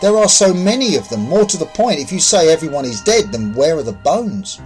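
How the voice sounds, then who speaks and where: A man's voice: fairly high pitch, very animated, fairly quick, a little mellow, soft background music, voice pushed up. A man voicing a bewildered, skeptical man revived from death, blunt and questioning, in a narrated science fiction story. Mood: agitated, questioning.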